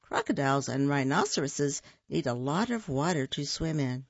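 A woman reading the lines of a patient, know-it-all big sister in a children's storybook, gently explaining things. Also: low voice, lively intonation, moderate pace, no echo, highs cut off.

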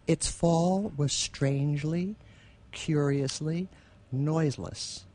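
A woman co-hosting a radio theatre show, chatting casually and reading literary prose in a measured, expressive way. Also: low-pitched.

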